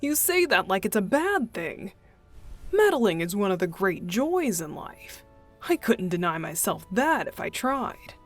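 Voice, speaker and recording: A woman voicing a playful, mischievous young male deacon in an unhurried, teasing ASMR roleplay style. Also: mid-range voice, very animated, soft background music. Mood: amused, teasing. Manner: teasing, unhurried, chuckling, breathy asides.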